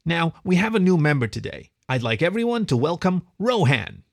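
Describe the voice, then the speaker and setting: A man's voice: fairly high pitch, very animated, normal speed, gravelly, no echo, clean studio sound, voice pushed up, heavily acted. A man voicing a bossy, irritable young feline club leader with a formal, commanding manner in a storybook.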